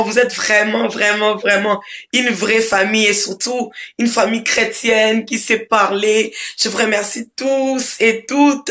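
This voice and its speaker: A woman leaves a casual voice note on her phone, hoarse from a cold. Her tone is bright and sharp. She gushes, stacks up repeated words and leans on fillers. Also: on the high side. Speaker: group member. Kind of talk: casual voice message.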